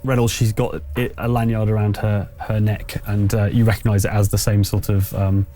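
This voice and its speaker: A man's voice: on the low side, flat delivery, moderate pace, dark tone, faint music behind. A man running a tabletop roleplaying game, narrating off the cuff and chatting casually, with hesitant, trailing phrasing and self-corrections. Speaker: game master.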